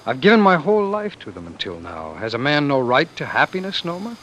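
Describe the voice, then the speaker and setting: An actor playing a weary, defiant former world ruler in love, with halting, theatrical delivery in the style of old radio drama. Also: male voice, on the high side, big pitch swings, fairly bright tone. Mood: indignant, imperious. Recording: noisy vintage radio broadcast.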